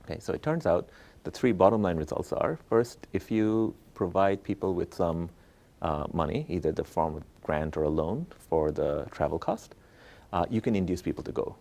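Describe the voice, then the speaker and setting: Man, very low voice, mellow, from Bangladesh, academic interview guest, explanatory, frequent tag questions. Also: gravelly. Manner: explanatory, checks in with "right?" and "okay?". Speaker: economist, professor interviewed as guest. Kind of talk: conversational explanation of research.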